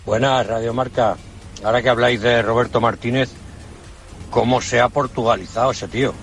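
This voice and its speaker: A man's voice: medium pitch, fairly even intonation, fairly quick, faint music behind. A man leaving a casual, jokey voice note for a sports radio show. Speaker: caller.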